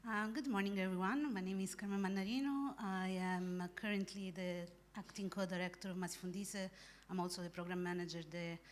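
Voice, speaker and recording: A woman from Italy with an Italian accent, introducing herself as a panelist with measured speech and frequent fillers. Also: mid-range voice, steady tone, slight background noise. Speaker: panelist, fisher rights organizer. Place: conference hall.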